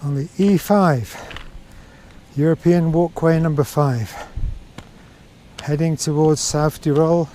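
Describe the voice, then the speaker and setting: Mellow-voiced man vlogging on a hike. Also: fairly high pitch, fairly even intonation. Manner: reflective, unhurried, halting. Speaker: hiker filming his own walk. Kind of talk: casual walking vlog narration. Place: outdoors on a mountain trail. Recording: noisy outdoor camera audio.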